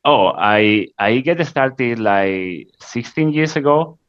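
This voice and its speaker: A middle-aged man from Spain with a Spanish accent, answering interview questions in halting English full of pauses and fillers. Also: mid-range voice, expressive, no echo, muffled recording. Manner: halting, frequent "uh" fillers, non-native grammar. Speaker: interview guest, creative director.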